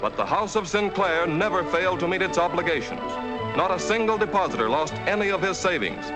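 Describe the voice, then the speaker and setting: A man narrating a 1940s newsreel in a brisk, declamatory announcer style on an old film soundtrack. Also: sits high, poor audio. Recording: old film soundtrack under loud music.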